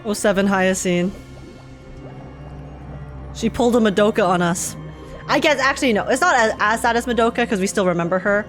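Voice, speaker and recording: A woman's voice: mid-range voice, a little fast, pauses often, faint music behind. A woman streamer giving casual, emotional live commentary on a game in loose fannish slang.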